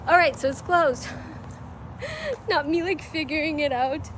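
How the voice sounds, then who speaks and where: A woman's voice: high-pitched, big pitch swings, measured pace, a bit bright. Chatty young woman vlogging outdoors. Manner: chatty and rambling, with many fillers. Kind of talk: casual travel vlog. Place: outdoors in a city, partly riding a bike. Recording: outdoor street noise and traffic. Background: city ambience and passing vehicles.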